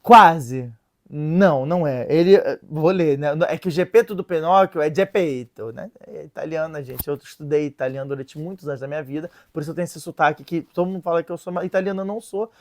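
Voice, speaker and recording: Animated woman explaining with playful asides. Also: low-pitched, brisk. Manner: reads and translates sources aloud. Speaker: religious video presenter. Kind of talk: casual explanatory lesson.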